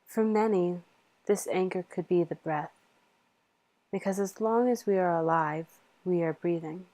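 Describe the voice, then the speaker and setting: A woman leading a guided meditation in a soothing, measured manner with long pauses. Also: lowish voice, mellow, poor audio.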